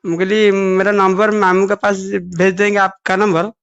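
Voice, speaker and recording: Man, Odia native, broken halting speech, phone call, muffled audio. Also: sits high, little pitch movement, a bit bright, clear, resonant tone.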